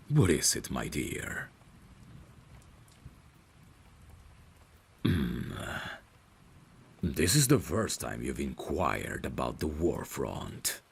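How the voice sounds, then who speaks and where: A man playing a courtly, caring vampire butler in an ASMR audio drama, speaking in a formal, deferential and gently solicitous way. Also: extremely low pitch, creaky, a little hiss or hum, heavily acted. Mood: gentle, reluctant. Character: courtly, caring male vampire butler, soft-spoken and protective.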